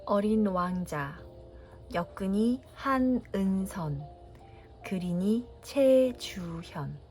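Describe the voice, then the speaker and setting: Gentle woman reading a bedtime story. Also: medium pitch, animated, soft background music. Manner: gentle, unhurried. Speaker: storybook reader.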